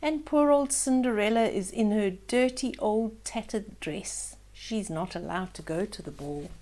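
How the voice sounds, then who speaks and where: Casting: female children's storyteller, unhurried and soft-toned, expressive, dropping into hushed moments. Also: mid-range voice. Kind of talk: storybook read-aloud with asides to young listeners.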